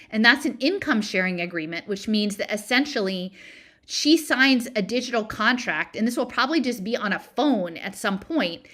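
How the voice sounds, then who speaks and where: A woman's voice: mid-range voice, bright, forceful tone. A woman presenter giving an informal explanatory talk, digressing and thinking aloud as she goes.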